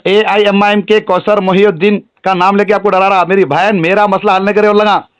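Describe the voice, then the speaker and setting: A man's voice: high-pitched, flat delivery, a little fast, smooth. A middle-aged man with a Hyderabadi accent talking on a phone call, insistent and repetitive, addressing the listener respectfully. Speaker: local political activist.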